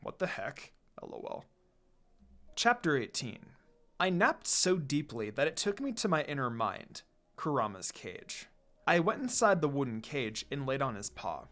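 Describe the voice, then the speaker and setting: A man's voice: medium pitch, very animated, normal speed, fairly bright tone. A man reading a fan-written story aloud as a dramatic first-person narrator. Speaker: fanfiction reader. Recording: background music under the voice. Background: music.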